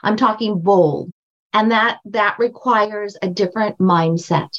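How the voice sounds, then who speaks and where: An older woman with a high voice, a guest on a podcast interview, telling stories with emphatic repetition. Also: measured pace, highs cut off. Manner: emphatic, storytelling, repeats phrases for stress. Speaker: guest, brand strategist and book coach.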